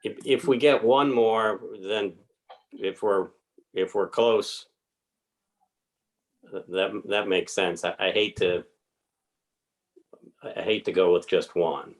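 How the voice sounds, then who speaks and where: A man's voice: on the low side, takes it slowly, pauses often, low-quality recording. A man on a committee, speaking with stammered repeats at a meeting. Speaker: committee member. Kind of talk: meeting discussion. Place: public meeting room.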